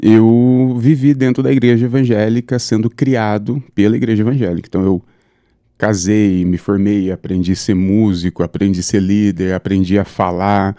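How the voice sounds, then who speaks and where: A man in his thirties from São Paulo state, a pastor telling his life story fluently in a relaxed interview. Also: fairly low pitch, normal speed, dry recording, studio quality. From São Paulo state, Brazil. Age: 30s. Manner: steady, fluent storytelling with frequent "né". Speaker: pastor, guest. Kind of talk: conversational interview, personal account.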